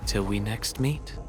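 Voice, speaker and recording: A man voicing a suave, scheming zealot in a video game, a devoted ancient follower who speaks in formal, archaic, grandiloquent phrases. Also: lowish voice. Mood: ominous, fervent. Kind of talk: dramatic video game voice acting.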